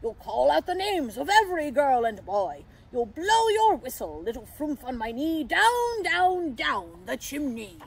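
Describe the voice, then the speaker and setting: A woman voicing a kindly, excitable old man in a children's story, with a hearty, fatherly manner. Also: sits high, measured pace, few pauses, exaggerated voice, poor audio. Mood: joyful.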